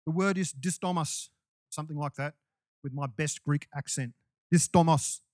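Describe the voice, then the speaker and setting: Slightly high-voiced preacher, dry sound. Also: male voice, normal speed, a little mellow, dry recording. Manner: conversational preaching with restarts and asides. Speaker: pastor. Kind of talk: sermon.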